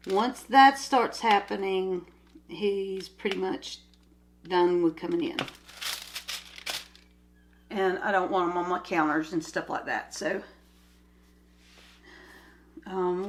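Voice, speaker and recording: A woman's voice: on the low side, slight background noise. An older woman with a nasal voice from a cold, chatting casually at home while she does a craft, rambling from one topic to the next. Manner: rambling, chatty, easily sidetracked. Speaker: crafting vlogger. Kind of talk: casual chat while diamond painting.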